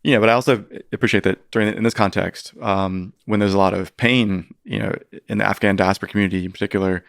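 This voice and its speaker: A man with a lowish, resonant voice speaks as a historian guest on a podcast. He answers thoughtfully, hedging and restarting often, recorded close and dry in a studio. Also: fairly even intonation, fast talker, vocal fry, no echo. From United States. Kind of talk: podcast interview.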